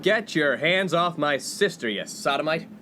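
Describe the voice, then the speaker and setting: A man's voice: a little high, lively intonation, moderate pace, background noise, lightly acted. A man playing a snobbish, scolding upper-class college student in a 1950s radio comedy. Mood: indignant, scolding. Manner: pompous, scolding. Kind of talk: comedic radio play acting.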